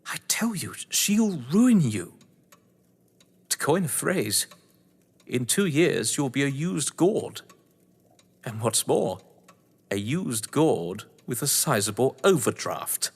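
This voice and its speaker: A man's voice: mid-range voice, big pitch swings, slow pace, pauses often, husky, big-room sound, exaggerated voice. A man playing a suave, scheming, condescending English crime novelist in a theatrical audio drama, with wry, florid delivery. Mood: mocking concern. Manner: pompous, wry, florid phrasing.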